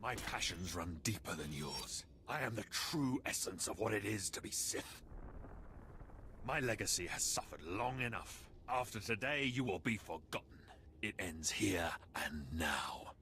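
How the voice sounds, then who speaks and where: Very deep, arrogant Sith villain. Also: male voice, expressive, unhurried, sharp tone, slight background noise, heavily acted. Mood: proud, threatening. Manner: theatrical, formal, menacing declamation. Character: arrogant, bitter male Sith rival, proud and grandiose. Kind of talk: video game voice acting.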